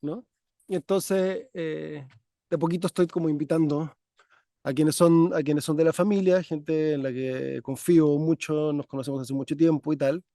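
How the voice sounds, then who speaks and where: A man's voice: fairly high pitch, fairly even intonation, moderate pace, no room sound, clean studio sound. A man hosting a podcast interview in a loose, chatty way. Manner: warm, chatty, many fillers and restarts. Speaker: podcast host. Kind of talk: conversational interview. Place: parents' country house.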